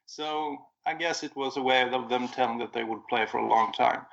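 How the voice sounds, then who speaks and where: A man with a Swedish accent chats casually on a podcast, his voice gravelly with fry. He rambles and corrects himself as he recalls things. The audio sounds dull and lo-fi. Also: medium pitch, normal speed, a bit bright. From Sweden. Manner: rambling, self-correcting recollection. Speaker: podcast co-host. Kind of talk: casual chat.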